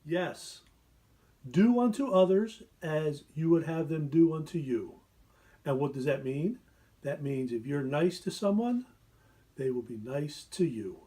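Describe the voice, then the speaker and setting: A man in his fifties answering interview questions deliberately, often posing and answering his own questions. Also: on the high side, expressive, warm tone. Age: 50s. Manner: deliberate, poses rhetorical questions.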